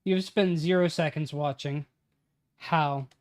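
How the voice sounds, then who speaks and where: A man's voice: fairly high pitch, fairly even intonation, takes it slowly, a little mellow. Young man muttering as he types. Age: 20s. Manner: mutters lines aloud while typing, then casual banter with swearing. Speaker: streamer writing a tabletop campaign. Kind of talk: casual livestream chat.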